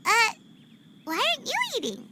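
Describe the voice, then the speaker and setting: A woman voicing a tiny, cheerful, childlike fairy companion in a video game, squeaky and perky. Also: huge pitch swings, very noisy, full character voice. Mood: chirpy, eager. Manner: perky, drawn-out, refers to self by name. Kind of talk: video game cutscene voice acting.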